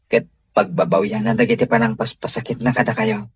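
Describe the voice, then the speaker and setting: Earnest young sweetheart, Filipino accent. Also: male voice, lowish voice, very animated, brisk, husky, no echo, full character voice. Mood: tender, earnest. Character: devoted young man, earnest tender sweetheart. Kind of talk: radio drama acting.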